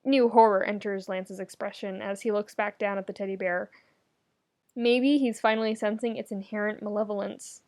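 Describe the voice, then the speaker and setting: A woman reading comedic fiction aloud as a narrator, with an expressive, wry storytelling delivery. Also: mid-range voice, normal speed. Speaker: podfic narrator.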